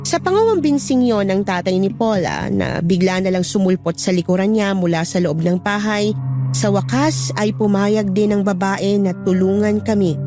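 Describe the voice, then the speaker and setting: A woman's voice: medium pitch, lightly acted. A woman reading scripted first-person horror narration as a storyteller.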